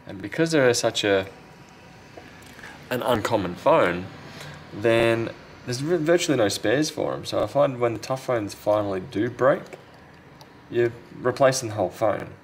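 A man's voice: mid-range voice, lively intonation, a little slow. A man giving casual, unscripted commentary as he works at an indoor repair bench, sometimes muttering to himself. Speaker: phone repair technician and video host. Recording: clear background noise.

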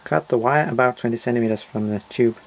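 A man's voice: medium pitch, fast, gravelly, a little hiss or hum. A man narrating a step-by-step DIY tutorial in short, simple, matter-of-fact instructions.

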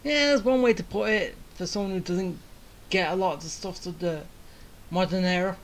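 A woman's voice: fairly low pitch, moderate pace, a little hiss or hum. A woman giving casual let's play commentary as she reacts to a game's story. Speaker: game player commenting on story. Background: game music.

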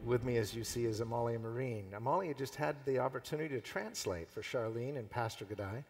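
Husky-voiced man hosting a stage interview. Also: mid-range voice, fairly quick, big-room sound, soft background music. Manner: encouraging, affirming interjections. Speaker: interviewer host. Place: large convention hall stage.

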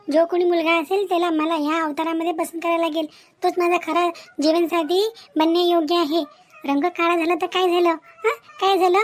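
Cartoon young woman, voiced by an actress: high voice, sensitive yet proud, emphatic delivery, Marathi accent. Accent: Marathi of Maharashtra. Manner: earnest, emphatic, repeats rhetorical questions. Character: proud, hurt young bride-to-be defending her dark complexion. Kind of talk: Marathi cartoon drama acting.

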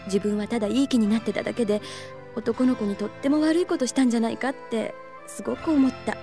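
A voice actress playing a gentle, wistful young woman teacher in an anime audio drama, soft and reflective. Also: a little high, fast, faint music behind, close to an everyday voice.

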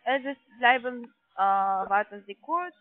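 High-voiced woman on a tinny phone line. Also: expressive, measured pace, no room sound. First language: not German. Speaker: call centre sales agent. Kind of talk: persuasive phone sales pitch. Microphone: telephone.

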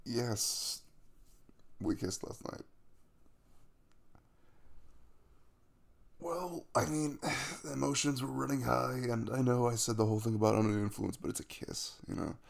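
A man's voice: medium pitch, very animated, creaky. A man playing a caring young crush the morning after a kiss in an intimate ASMR roleplay, speaking casually and hesitantly, with a reassuring manner. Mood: sheepish, amused. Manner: hesitant, reassuring, with soft chuckles and trailing pauses.

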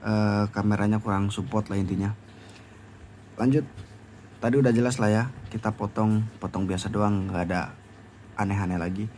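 A man's voice: lowish voice, steady tone, normal speed, long pauses, a little mellow, slight background noise. A young man with a Jakarta accent giving a casual, unscripted how-to in informal slangy talk. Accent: Jakarta Indonesian. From Indonesia. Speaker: motovlogger giving a DIY tutorial. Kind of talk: casual unscripted tutorial chat.

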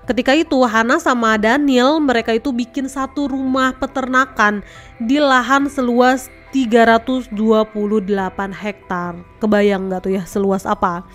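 A young woman casually telling a story to her viewers in a chatty, conversational way. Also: a little high. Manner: chatty, addresses viewers as guys. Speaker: host. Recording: faint background music.

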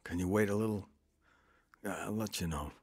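A man's voice: lowish voice, brisk, a little mellow, no room sound, faint music behind, close to an everyday voice. An actor playing a troubled man, earnest and reflective, in a scripted first-person radio drama. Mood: uneasy. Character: earnest, troubled man recounting his own past.

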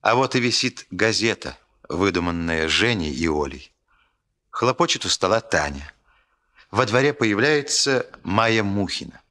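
A solemn radio play storyteller. His voice is somewhat low and bright, with real force, and he narrates with earnest, rhetorical emphasis. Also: expressive, unhurried. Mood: scene-setting.

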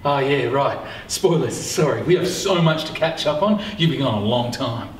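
A middle-aged man playing a teacher in an amateur short film, speaking in a blunt, deadpan way. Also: medium pitch, normal speed. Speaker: teacher character. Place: school corridor and classroom. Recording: echoey room with faint hiss.

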